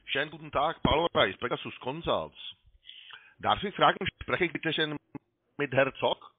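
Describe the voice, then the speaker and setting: A man's voice: a little high, lively intonation, moderate pace, breathy edge, dry recording. A man with a foreign accent in German, a non-native speaker making a call-centre cold call. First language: not German. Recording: dry, tinny phone line.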